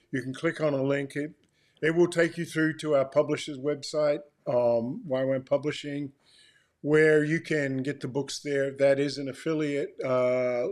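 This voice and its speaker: An older man co-hosting as an author, giving practical information in an informal, matter-of-fact way. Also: a little high. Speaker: author co-hosting a welcome video.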